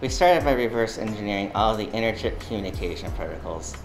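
A man's voice: on the low side, animated, fast talker, vocal fry, echoey room, a little hiss or hum, rough recording. A man in his forties reading a scripted letter in an indoor lab, confident and punchy with a dry edge. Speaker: company spokesman.

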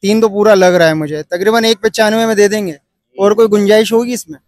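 A man in his thirties from Pakistan with a Karachi Urdu accent, filming a casual walkthrough of an outdoor cattle market on his phone and pressing sellers for lower prices. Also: steady tone, a little fast, no room sound. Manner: chatty, pushes for a lower price. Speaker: vlogger.

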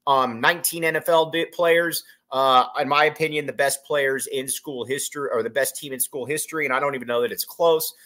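A man's voice: medium pitch, fairly even intonation, a little fast, hardly any pauses, bright, forceful tone. A man in his thirties hosting a solo sports podcast from a small room at home, rambling through a postgame reaction with many fillers and repeated phrases.

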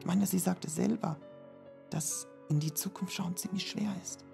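Man playing a gravelly skeptical student. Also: high-pitched, normal speed, mellow, soft background music. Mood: musing. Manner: doubtful, questioning. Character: young male student, skeptical sidekick. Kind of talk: German fan audio drama.

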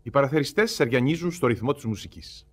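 A man's voice: medium pitch, lively intonation. A man narrating a radio play as a scripted storyteller, setting out the scene.

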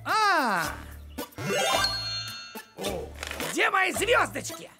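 Cranky high-pitched old cartoon postman. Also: slow, over loud music, heavily acted. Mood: frantic. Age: older. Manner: officious, cranky, excitable. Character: cranky, fussy older male village postman. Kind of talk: animated cartoon acting.

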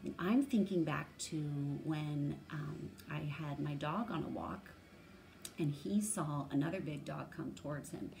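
A woman in her thirties with a low, creaky voice reads a story aloud for children. She steps out of the text to explain and reason through it in an instructional way. There is faint noise behind her in a room at home. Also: a little slow, darkish tone. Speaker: read-aloud teacher. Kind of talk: animated children's story reading with word explanations. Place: small room at home, indoors.

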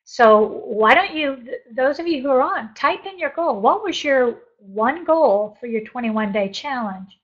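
An older woman leads an online coaching group from her home office, upbeat and encouraging. Her voice sits fairly high, and she talks into a laptop mic on a video call. The audio is dull and lo-fi. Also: animated, measured pace, hardly any pauses. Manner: upbeat, encouraging, laughs often. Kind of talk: live webinar coaching, reading chat aloud.

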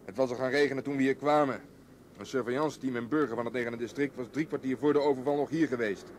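A man's voice: medium pitch, fairly even intonation, quick pace, close to an everyday voice. An actor in a radio drama playing a dry, grumbling policeman who talks in a clipped, matter-of-fact way. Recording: old radio play, faint noise.

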